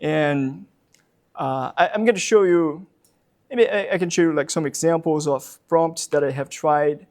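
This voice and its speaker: Brazilian guy, professor doing a library talk, kinda high voice, lots of uhs and repeated words. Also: a little slow. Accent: Brazilian Portuguese. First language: Portuguese. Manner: hesitant, many fillers and repeated words. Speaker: university professor giving a public talk. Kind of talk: public lecture. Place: public library talk room.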